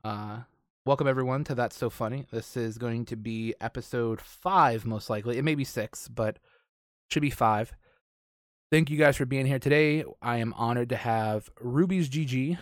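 A man's voice: medium pitch, moderate pace, dry recording. Casual male podcast host, dry close sound.

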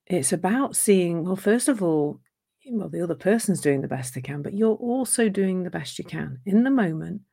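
A woman speaking as a coach in a live talk on relationships, gentle and reflective, her voice a little croaky from a sore throat. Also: on the low side, lively intonation, normal speed.

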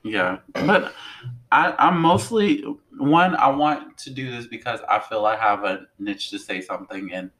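A young man from New Orleans co-hosting a podcast, talking in a loud, animated, profane way. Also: mid-range voice, a little slow, poor audio. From New Orleans, Louisiana. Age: young adult. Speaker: podcast co-host. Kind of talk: casual chat.

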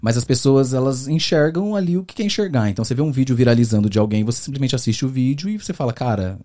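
Fast-talking man with an interior São Paulo accent. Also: medium pitch. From Brazil, interior of São Paulo state. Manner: fast, animated storytelling with many fillers. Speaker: podcast guest, comedian. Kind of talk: casual interview chat.

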